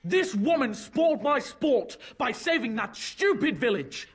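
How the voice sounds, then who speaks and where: An actor playing an imperious, spoiled demon speaking through a young boy in a video game, husky and menacing. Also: sits high, very animated, heavily acted. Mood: petulant, bored. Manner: taunting, commanding, slow drawn-out menace.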